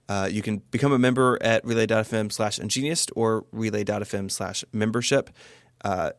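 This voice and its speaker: A man's voice: lowish voice, a little fast, dry recording. A man co-hosting a podcast, moving between scripted narration and casual conversational talk on a close mic. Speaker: podcast co-host.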